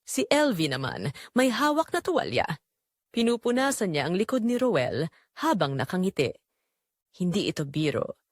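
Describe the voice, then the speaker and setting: A woman reading scripted dramatic story narration in short, measured, suspenseful phrases. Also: fairly low pitch, sing-song, no room sound, studio quality.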